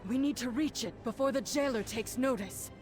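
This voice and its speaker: Woman voicing a resolute, higher-pitched sorceress leader. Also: moderate pace, over music, lightly acted. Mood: guarded, urgent. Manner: earnest, authoritative. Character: resolute sorceress leading allies, wary and commanding. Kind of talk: fantasy game cutscene acting.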